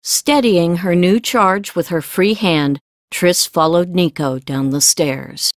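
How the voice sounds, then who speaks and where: Lower-pitched woman narrating a novel. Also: takes it slowly. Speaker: audiobook narrator. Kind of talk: scripted fiction narration.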